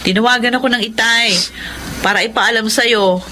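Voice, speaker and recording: A woman's voice: fairly high pitch, steady tone, normal speed, pushed and bright, slight background noise, poor audio. A woman playing a sensible, steadying Filipino older sister in a Tagalog radio drama, reassuring and full of advice. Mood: reassuring, urging. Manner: reassuring, advising.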